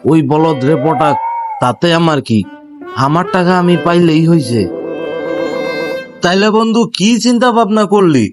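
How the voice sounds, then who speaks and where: Male actor as scheming young pal, high voice, mocking, goading, Bangladeshi accent, cartoon, faint music behind. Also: natural voice. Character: sly, mocking young friend who eggs others on. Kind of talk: comic Bangla cartoon dub.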